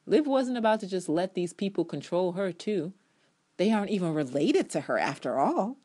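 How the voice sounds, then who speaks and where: A woman's voice: on the low side, very animated, moderate pace, dark tone. A woman reviewer giving a dramatic reading of a written TV review, emphatic and opinionated, drawing out key words and adding offhand asides. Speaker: TV episode reviewer.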